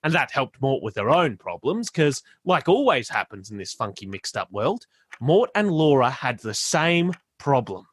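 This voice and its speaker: An actor in a radio play playing a laid-back young stoner philosopher who rambles and stumbles through slangy musings. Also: male voice, medium pitch, sing-song, moderate pace, breathy edge, no echo. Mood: earnest rambling.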